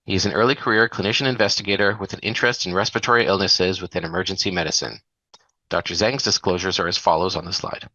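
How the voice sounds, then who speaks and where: A man's voice: low-pitched, steady tone, quick pace, sharp tone, no room sound, dull audio. A man moderating a medical webinar, introducing speakers and running polls with light joking asides.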